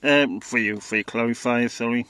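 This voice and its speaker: A man's voice: mid-range voice, normal speed, a bit bright, clear, resonant tone, no echo. A man informally demonstrating radio equipment in a casual, hesitant, matter-of-fact way. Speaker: radio enthusiast presenting equipment.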